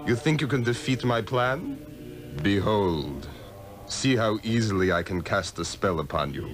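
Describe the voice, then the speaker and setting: An actor playing a scheming, arrogant sorcerer's apprentice in a radio drama, with a pompous, theatrical delivery. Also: male voice, lowish voice, expressive. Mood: gloating, menacing. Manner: pompous, theatrical, drawn-out incantations. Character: scheming, arrogant male sorcerer's pupil, villain. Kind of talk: 1960s-era radio drama acting.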